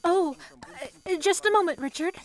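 High female voice, an actress as young 1930s switchboard operator, polite, earnest, composed, radio play style. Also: normal speed. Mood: attentive. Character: young 1930s telephone operator, calm, earnest woman saving for college. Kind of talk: old-time radio drama acting.

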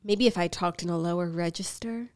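A woman's voice: on the low side, brisk, clear, resonant tone. A young woman co-hosting a podcast while sick, with a nasal voice and a dry, sarcastic manner in casual chat. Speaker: podcast co-host.

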